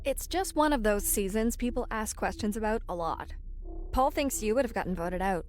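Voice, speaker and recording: An actress playing a curious, reasonable podcast co-host, inquisitive and explanatory in a natural conversational audio drama style. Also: female voice, mid-range voice, animated, moderate pace, soft background music, lightly acted.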